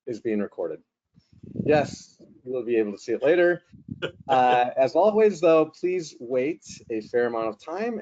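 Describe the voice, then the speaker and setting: A man's voice: mid-range voice, mellow. Joking man hosting a webinar over a call. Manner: joking, rambling with frequent fillers. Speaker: webinar host and moderator. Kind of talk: casual, chatty webinar hosting. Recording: slightly muffled webinar audio.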